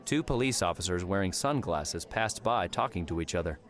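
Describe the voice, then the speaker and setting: A man narrating a novel as an audiobook, in a measured storytelling manner. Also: deep voice, expressive. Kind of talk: scripted fiction narration.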